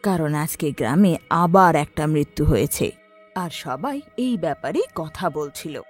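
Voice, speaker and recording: A woman's voice: low-pitched, lively intonation, a little slow. A woman narrating a scripted horror story in a dramatic storytelling style. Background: background music.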